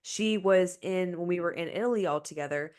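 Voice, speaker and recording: Lowish-voiced young woman podcast co-host. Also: brisk, a little mellow. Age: young adult. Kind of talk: casual chat. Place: small indoor room, remote video recording. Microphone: podcast microphone, close up.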